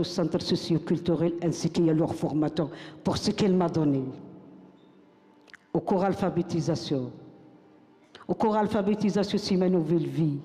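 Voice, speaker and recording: An older woman recites her own text on a stage, her voice soft and mellow. She builds rhythm by repeating lines like a chant. The sound rings with the long reverb of a big hall. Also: lowish voice. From Morocco. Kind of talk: spoken-word recitation to an audience.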